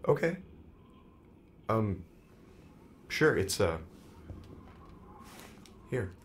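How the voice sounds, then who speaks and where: Anxious man, swinging pitch. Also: very animated, a little hiss or hum, full character voice. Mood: alarmed. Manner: halting, questioning, agitated. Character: anxious, bewildered male accomplice on the run. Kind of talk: naturalistic audio drama acting.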